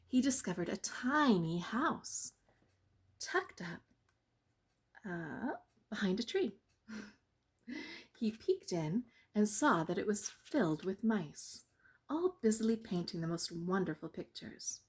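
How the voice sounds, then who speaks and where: A woman with a husky voice reads a picture-book story for children. Her pitch moves up and down in a lively way, and she takes her time, pausing for drama before key phrases. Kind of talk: expressive bedtime story reading for children.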